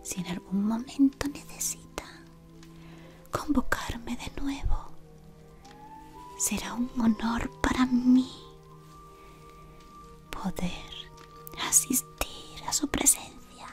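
A woman in her thirties whispering an ASMR roleplay as a devoted servant of a dark lord, softly and deferentially, close to the mic. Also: fairly high pitch, takes it slowly, long pauses, faint music behind. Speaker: ASMR creator. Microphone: close to camera.

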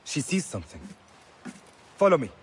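Alert assassin mentor, lively intonation. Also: male voice, fairly high pitch, moderate pace, heavy background noise. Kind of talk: game cutscene acting.

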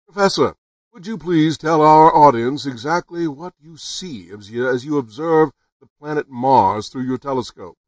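A man's voice: a little high, moderate pace, no room sound, recorded from a distance, close to an everyday voice. A man playing an earnest radio news reporter in a formal, announcing manner, in the style of a 1930s radio drama. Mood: probing. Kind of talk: 1930s radio drama acting, live broadcast commentary.

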